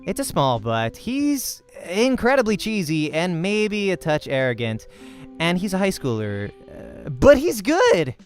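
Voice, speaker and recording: A man voicing a cocky, jokey young male pro gamer in a visual novel, with a breezy, teasing, animated delivery. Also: high voice, a little slow, soft background music, voice pushed up, heavily acted. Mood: cagey, upbeat.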